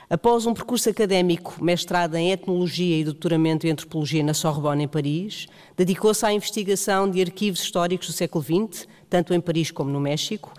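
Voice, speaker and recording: A woman moderator, smoothly reading out introductions of the speakers. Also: fairly low pitch, darkish tone. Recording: reverberant hall.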